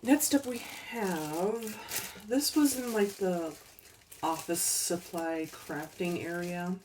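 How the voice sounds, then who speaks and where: A woman casually showing off her thrift store finds, unhurried and chatty with ums and little laughs, her voice a bit worn as she is losing it. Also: on the low side, distant mic, background noise. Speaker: collecting channel host. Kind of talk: casual thrift haul chat.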